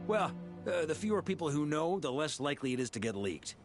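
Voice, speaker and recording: An actor playing a bold, easygoing knight commander in a video game, with a casual, conversational delivery. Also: male voice, fairly high pitch, very animated, a little fast, music in the background. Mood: thoughtful.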